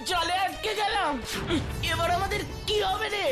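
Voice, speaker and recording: A woman's voice: extremely high pitch, steady tone, normal speed, loud music behind the voice. A woman playing a plucky but anxious young boy in a cartoon, plaintive, questioning and cheeky. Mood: worried. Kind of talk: exaggerated cartoon acting.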